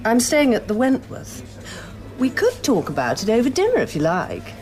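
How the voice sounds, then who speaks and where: An actress playing a blunt, businesslike documentary film-maker in a naturalistic radio drama. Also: female voice, on the high side, huge pitch swings, normal speed, darkish tone. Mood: businesslike, inviting. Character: forthright, tactless female documentary film-maker.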